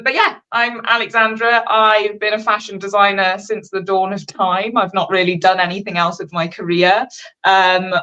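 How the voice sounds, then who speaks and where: A woman in her thirties speaks with a Welsh accent, relaxed and self-mocking as she tells her story to an interviewer. Her voice sits at an ordinary middle pitch. She talks openly and casually, slipping in a mild curse now and then. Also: low-quality recording. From Wales. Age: 30s. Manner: chatty, candid, self-mocking, swears casually. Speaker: guest, fashion designer. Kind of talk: casual livestream interview chat.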